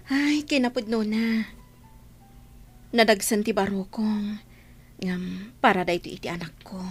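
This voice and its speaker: A woman's voice: mid-range voice, slightly rough. A woman playing an earnest, devoted young mother in a radio-style drama, speaking sincerely with an Ilocano accent. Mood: wistful.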